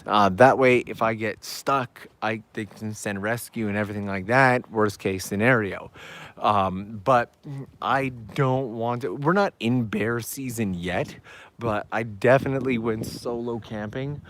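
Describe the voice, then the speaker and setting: A man hosting a live travel stream outdoors, chatting upbeat to viewers while walking, his voice going hoarse. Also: lowish voice, measured pace, fairly bright tone. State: losing his voice. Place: outdoors on town backstreets at evening.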